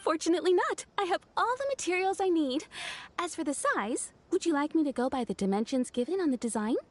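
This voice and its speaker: An actress playing an eager, polite and helpful shopkeeper in a fantasy video game. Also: female voice, extremely high pitch, expressive, fairly quick. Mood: polite, businesslike. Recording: game music behind the voice.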